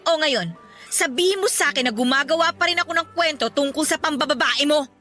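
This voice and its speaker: A woman's voice: high-pitched, expressive, fairly quick, bright, forceful tone, faint music behind. A woman playing a fed-up, jealous young girlfriend in a Tagalog radio drama, speaking in an accusing, sarcastic, emphatic way. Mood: accusing, annoyed. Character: fed-up, jealous young woman confronting her secret boyfriend.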